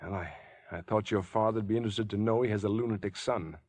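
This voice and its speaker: An American actor playing a decent, agitated young man cornered by murder, in tense, halting, earnest 1950s radio drama style. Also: lowish voice, a little mellow, no room sound, natural voice. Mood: earnest, uneasy. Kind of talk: 1950s radio suspense acting. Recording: vintage 1950s radio recording with music.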